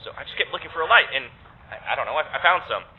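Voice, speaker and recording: A thin, phone-like signal carries an uneasy young man. He is sardonic and halting, talking his way through a strange place in an audio drama, with faint noise behind him. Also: on the high side, expressive. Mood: wary, subdued. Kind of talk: naturalistic audio drama acting. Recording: tinny phone-like filter with background noise.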